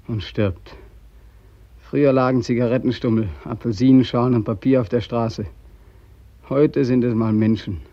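An actor playing a desperate, tormented returning soldier who longs for death, in a brooding theatrical radio play monologue. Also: male voice, medium pitch. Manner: theatrical, brooding, insistent repetition. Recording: dull, muffled radio recording.

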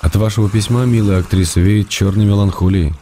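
A man's voice: lowish voice, steady tone, brisk, slight background noise, natural voice. A man playing a wry, tender middle-aged writer. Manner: dry, gently ironic, tender. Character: middle-aged male writer, gently ironic. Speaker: actor reading letters. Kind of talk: radio play letter reading. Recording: studio radio play with soft music.

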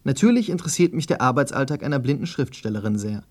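Male interviewer, medium pitch, scripted radio questions and narration. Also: animated, fast talker, darkish tone.

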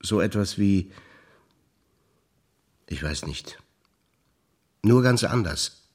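An older man performing scripted stage dialogue, measured and reflective, often sinking to hushed low phrases. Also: expressive, breathy edge. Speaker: stage actor.

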